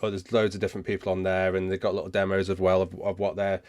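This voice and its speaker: A man hosting a casual live question-and-answer session, speaking haltingly and often rephrasing himself as he goes. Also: low voice, completely flat delivery, darkish tone. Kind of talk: casual livestream chat, answering viewer questions.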